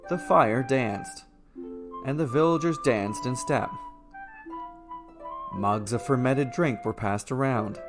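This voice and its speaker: A man reading a scripted fairy tale as its storyteller and narrator. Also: medium pitch. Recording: music playing behind the voice. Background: instrumental music.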